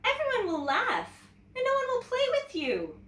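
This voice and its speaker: Woman voicing a bossy, scolding big sister, animated. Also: very high voice, normal speed, voice pushed up, exaggerated voice. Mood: scolding, disapproving. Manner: scolding, emphatic. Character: disapproving bossy older sisters, young girls. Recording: roomy, distant mic with background noise.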